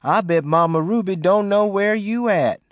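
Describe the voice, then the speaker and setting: A man voicing a gruff, bossy lawman, scolding bluntly. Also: sits high, moderate pace, dry recording, pitched above the performer's own voice, natural voice.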